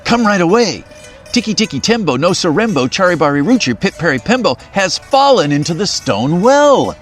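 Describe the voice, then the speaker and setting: A man's voice: high voice, sing-song, normal speed, faint music behind, voice pushed up. A man voicing a small, earnest boy who is out of breath and panting, reading a storybook aloud. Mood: shouting.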